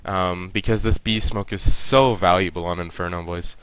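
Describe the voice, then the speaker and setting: Deep bright-voiced man with a stuffy nose. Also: moderate pace, slight background noise, rough recording. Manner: relaxed, coaching, talks to players directly. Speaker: esports coach reviewing a match. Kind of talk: casual game review commentary.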